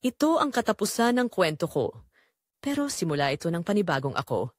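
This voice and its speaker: A woman with a Filipino accent playing a betrayed middle-aged mother, telling her story solemnly and deliberately in an audio drama. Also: on the low side, very animated, dry recording, clean studio sound. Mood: reflective, resolute. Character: betrayed middle-aged mother, wounded but quietly resolute. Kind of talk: dramatic first-person audio story narration.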